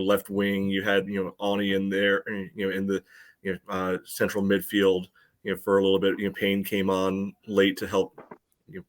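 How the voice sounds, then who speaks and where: A man casually analysing a match on a podcast, peppering his talk with "you know". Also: deep voice. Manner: frequent "you know" filler. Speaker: soccer podcast host.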